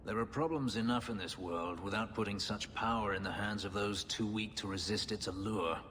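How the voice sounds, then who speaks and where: Game role: bitter ex-slave elf warrior played by a man, very low solemn voice, reverberant hall sound. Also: a bit bright, lightly acted. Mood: bitter, earnest. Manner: brooding, solemn, measured. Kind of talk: dramatic video game acting. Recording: long hall reverb, background noise.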